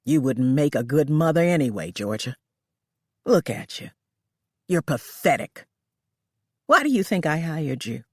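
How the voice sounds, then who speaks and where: A woman reading aloud, voicing a cruel wealthy female employer who yells and screeches at her maid. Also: very animated, measured pace, long pauses, husky, dry recording, studio quality, exaggerated voice. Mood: cold. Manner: shrill, shouting.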